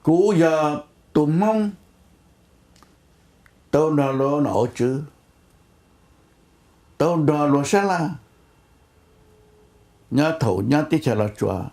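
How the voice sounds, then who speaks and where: A man's voice: on the high side, expressive, slow, pauses often, darkish tone. A man speaking spontaneously in a discussion on a conference call.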